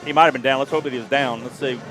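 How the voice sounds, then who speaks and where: A man at mid pitch calls play-by-play for a high school football game and openly rooting for the home team. Music plays clearly in the background of the broadcast. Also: steady tone, very fast, rough recording. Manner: partisan home-team calling. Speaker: play-by-play announcer.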